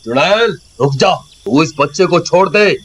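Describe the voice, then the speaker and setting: A man voices a protective village husband in a cartoon story. He has a somewhat high voice and gives urgent, determined orders. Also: lively intonation, moderate pace, husky.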